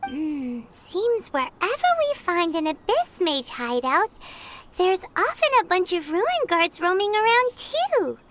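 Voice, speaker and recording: A squeaky, excitable fairy sidekick. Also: female voice, slow, very noisy, full character voice. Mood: excited. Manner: excitable, chatty, refers to herself in third person. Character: tiny chirpy fairy companion girl. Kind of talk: video game voice acting. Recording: game audio with loud music.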